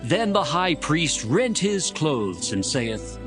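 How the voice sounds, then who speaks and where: Expressive male scripture narrator over music. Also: a little high, slow.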